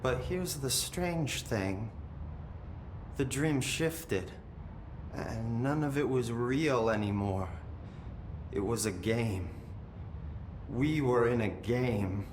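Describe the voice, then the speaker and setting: An actor playing a possessed, eerily calm brother in a video game, telling things in a detached, dreamy and menacing way. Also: male voice, lightly acted. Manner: slow, detached, deliberate storytelling with lingering pauses. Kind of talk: dramatic video game acting. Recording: echoey room, noticeable low rumbling noise.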